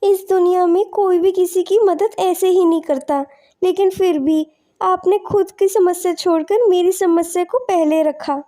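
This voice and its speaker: Very high-pitched, grateful village mother played by a woman. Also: fairly even intonation, measured pace, mellow. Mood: grateful, insistent. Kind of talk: animated moral storybook acting.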